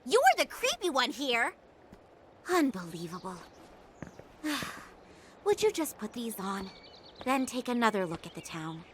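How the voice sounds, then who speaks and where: A woman's voice: on the high side, wildly swooping pitch, measured pace, long pauses, background noise. A woman voicing a bossy, sassy catgirl innkeeper in a video game, with a teasing, wry manner. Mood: exasperated. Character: sassy, bossy female innkeeper catgirl, older than she looks. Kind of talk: animated video game cutscene acting.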